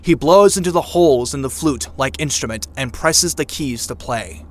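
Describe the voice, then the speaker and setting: A man's voice: mid-range voice, lively intonation, normal speed, heavy background noise, close to an everyday voice. A man narrating an audio drama in a scripted, dramatic storytelling style.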